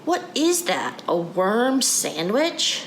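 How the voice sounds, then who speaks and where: A woman's voice: a little high, very animated, slow, exaggerated voice. A woman voicing a disgusted, teasing young schoolboy in a storybook, blunt and scornful. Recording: roomy echo, background noise.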